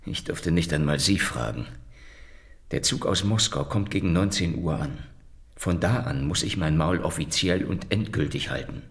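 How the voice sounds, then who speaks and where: An actor playing a sardonic, hardboiled Soviet secret police investigator, dry and probing, in a German radio crime drama. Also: male voice, low voice, moderate pace, breathy edge, no echo. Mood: probing, weary.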